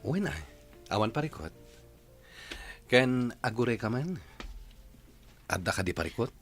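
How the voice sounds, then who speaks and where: A man playing a calm, sincere young man in an Ilocano radio drama, earnest and plainspoken. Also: medium pitch, a little slow, soft background music. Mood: concerned.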